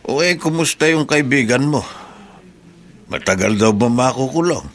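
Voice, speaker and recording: A man's voice: medium pitch, animated, moderate pace, slight background noise, close to an everyday voice. A Filipino man playing a plain-spoken, nosy older uncle in a radio drama, folksy and inquisitive. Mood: gossipy.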